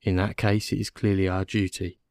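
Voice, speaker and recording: A man's voice: low-pitched, level tone, moderate pace, darkish tone, smooth, no room sound, clean studio sound, close to an everyday voice. A man voicing a dull, unemotional police inspector with a formal, mechanical manner in an audiobook. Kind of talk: audiobook character dialogue.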